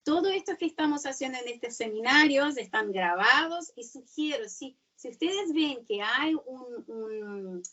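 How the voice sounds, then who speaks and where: A woman who is not a native Spanish speaker, giving a seminar talk and often pausing to search for words. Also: high voice, expressive, fairly bright tone, far from the mic, muffled recording. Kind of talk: webinar talk.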